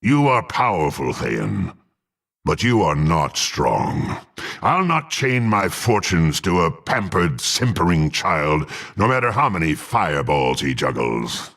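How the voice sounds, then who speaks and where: An actor playing a brutish, proud half-orc warrior in a video game, gruff and scornful, speaking with haughty deliberation. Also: male voice, very deep voice, very animated, takes it slowly, fairly bright tone, full character voice. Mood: cold contempt. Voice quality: gruff, gravelly.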